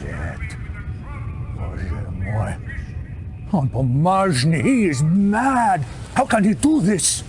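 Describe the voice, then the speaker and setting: An actor playing a defecting Soviet major with a Russian accent, grave, theatrical and halting in a game cutscene. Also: male voice, on the high side, very animated, very noisy. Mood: alarmed, appalled. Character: anguished Soviet major, a defecting atomic strategist. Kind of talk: dramatic game cutscene acting.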